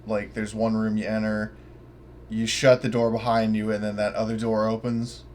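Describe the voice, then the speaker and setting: A man's voice: lowish voice, flat delivery, normal speed, darkish tone, a little hiss or hum. A man playing a tabletop roleplaying game, chatting casually and thinking aloud as he describes his character's actions.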